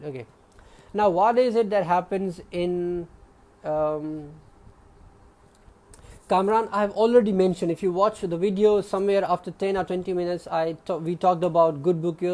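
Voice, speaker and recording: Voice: mid-range voice, darkish tone. An exam prep teacher with a Pakistani English accent, giving a live online class in an explanatory way and often checking in with the students. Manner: explanatory, checks in with frequent "okay?"; addresses students by name.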